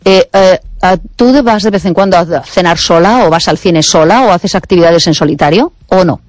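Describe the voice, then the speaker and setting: A woman hosting a radio program, asking probing questions and filling pauses with short acknowledgments. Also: lowish voice, a little mellow, no echo. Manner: probing, many ujú backchannels. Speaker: radio host. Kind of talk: radio interview.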